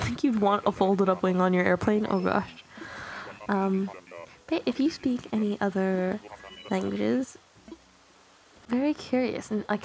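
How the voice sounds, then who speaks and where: A woman's voice: medium pitch, vocal fry, a little mellow, low-quality recording. A woman whose first language is Tagalog, rambling through casual commentary over a video game, with small self-conscious laughs. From Canada. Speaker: gaming YouTuber.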